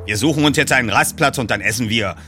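A man voicing a gruff, curt, evasive middle-aged uncle in an audiobook, brusque and emphatic. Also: mid-range voice, fast talker, sharp tone, faint music behind, lightly acted. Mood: curt, irritated. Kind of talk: audiobook character reading.

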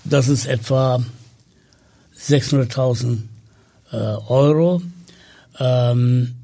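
Slow, gravelly man. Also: medium pitch, fairly even intonation, dark tone. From Sri Lanka. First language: Tamil. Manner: slow and hesitant with many fillers. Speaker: podcast guest. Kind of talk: conversational interview.

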